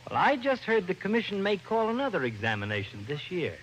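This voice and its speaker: Animated, grumbling radio police lieutenant. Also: male voice, sits high, a little fast, heavily acted. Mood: coaxing. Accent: American. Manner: grumbling, suspicious, folksy. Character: gruff-humored male police lieutenant, fretful sidekick friend. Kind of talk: 1940s-50s American radio detective acting. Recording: noisy old-time radio recording.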